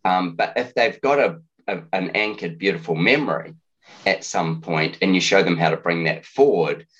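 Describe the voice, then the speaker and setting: A man hosting a podcast, asking relaxed questions in an easygoing manner. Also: deep voice, moderate pace, breathy edge, highs cut off. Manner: relaxed, easygoing, chuckles often. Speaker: podcast host. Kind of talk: casual interview chat.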